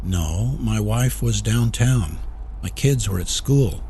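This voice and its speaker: A man's voice: lowish voice, animated, takes it slowly, slight background noise, voice pushed up. A man reading a short story as a panicked, desperate small-town store owner, pleading and rattled. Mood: anxious, hopeful. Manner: frantic shouting, pleading, later shaky and weary. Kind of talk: audiobook character acting.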